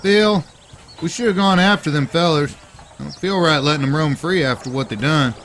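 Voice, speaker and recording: An actor playing an eager, impulsive, mischievous youngest brother in a Western audio drama, boyish and excitable, with an American drawl. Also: male voice, a little high, moderate pace, slight background noise. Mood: eager, pleading. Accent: American, Southern or Western drawl.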